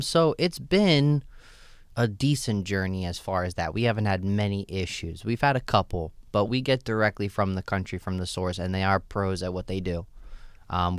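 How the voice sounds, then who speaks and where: A man's voice: deep voice, clear, resonant tone, no room sound, clean studio sound. A man who owns a shop, answering interview questions in a casual, loose way with lots of "right?" and "you know". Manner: relaxed, loose, many fillers and "right?" tags. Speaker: store owner, guest.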